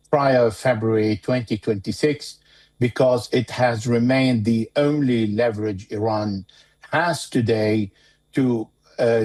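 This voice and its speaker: A man giving interview commentary as a war analyst, speaking in a measured, deliberate way with pauses mid-phrase. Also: on the low side, darkish tone.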